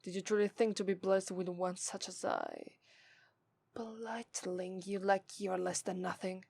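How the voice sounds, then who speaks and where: A woman voicing a haughty, scornful, seductive demon in an ASMR roleplay, with an Italian accent and formal, deliberate delivery that dips into breathy whispers. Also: medium pitch, huge pitch swings, a little mellow, no room sound, heavily acted. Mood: contemptuous.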